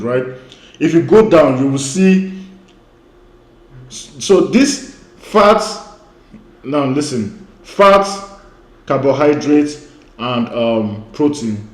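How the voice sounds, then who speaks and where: A man's voice: medium pitch, a little mellow, roomy sound. A man who grew up speaking Igbo, giving an emphatic online lecture as a weight-loss coach. He repeats his key points and often says "you know".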